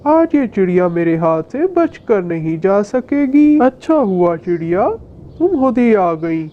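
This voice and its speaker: A woman's voice: mid-range voice, sing-song, measured pace, slight background noise. A woman voicing a hungry, bullying bird of prey in a children's cartoon, taunting and theatrical, with a muffled narrow sound. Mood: hungry, gloating. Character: hungry bullying predatory kite bird, boastful, gullible. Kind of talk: Hindi children's cartoon villain acting.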